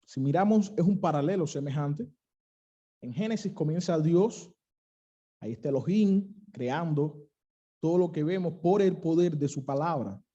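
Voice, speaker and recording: A young man with a Cuban accent preaching an earnest sermon, pausing to stress key phrases. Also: a little high, lively intonation, mellow, muffled recording. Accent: Cuban, western coastal. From Cuba.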